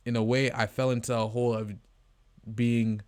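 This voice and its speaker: A man's voice: mid-range voice, level tone, normal speed, darkish tone, smooth, dry recording. An eighteen-year-old man from Canada talking casually to camera in a rambling, earnest way, with many fillers and restarts. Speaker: vlogger, agency co-founder. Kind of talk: casual talking-head vlog.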